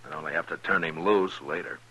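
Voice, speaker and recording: An American actor playing a stern, steady frontier lawman in a 1950s radio western, speaking tersely with measured authority. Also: a bass voice, slightly rough, full character voice. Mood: grave. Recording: old radio recording, faint noise.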